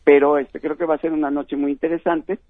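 A man with a Mexican accent speaks over a tinny phone line, sitting a touch high in his range. He rambles amiably with fillers and quick laughs. The sound is dry and squeezed. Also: little pitch movement, no echo. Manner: friendly, laughs often, many fillers. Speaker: film festival organizer. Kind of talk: phone interview on radio.

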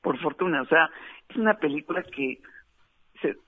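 A man from Mexico with a Mexican accent, a film director giving a relaxed, chatty radio interview with frequent fillers. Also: a little high, moderate pace, husky, dry recording. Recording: tinny dry phone line.

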